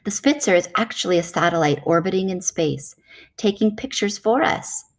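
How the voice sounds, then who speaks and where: A woman reading a nonfiction children's book aloud in a measured, explanatory way. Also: lowish voice, animated.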